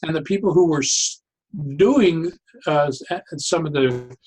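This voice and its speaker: An older man talking reflectively as an interview guest, deliberate and halting, often stopping and restarting his phrases. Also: a little high, darkish tone, husky, low-quality recording. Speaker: interview guest, psychologist and humor educator.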